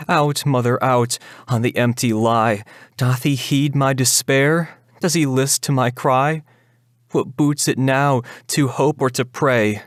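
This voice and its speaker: A man's voice: medium pitch, fairly even intonation, slow, no echo, clean studio sound, lightly acted. A man voicing a wildly despairing, defiant young woman, anguished and declamatory, in a dramatic reading of a gothic ballad.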